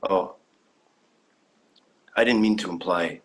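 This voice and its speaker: A man's voice: low voice, slow. A man acting an eager, naive young alien visitor in a sci-fi drama, wide-eyed and blithe. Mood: earnest. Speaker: actor. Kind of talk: dramatic fan fiction performance.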